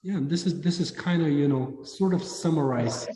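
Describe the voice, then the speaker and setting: A man's voice: on the high side, a crowd in the background. Bulgarian man in an echoey room. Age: 30s. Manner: earnest, measured, with frequent ums. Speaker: guest, dance instructor. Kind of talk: interview answers. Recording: roomy echo with a long reverb.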